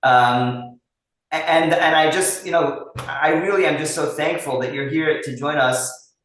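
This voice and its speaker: A man's voice: fairly high pitch, a little slow, fairly bright tone, heavy reverb, poor audio. Man host firing rambling questions. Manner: rambling, piles up repeated questions. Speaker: webinar host. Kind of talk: webinar interview.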